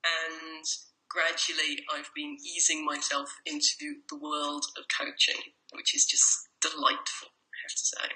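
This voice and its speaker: A woman's voice: low-pitched, big pitch swings, a little slow, creaky, sharp tone, rough recording. A woman with an English accent, a guest life coach chatting casually in an interview over a call, with many restarts. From Ireland. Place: home in a noisy city.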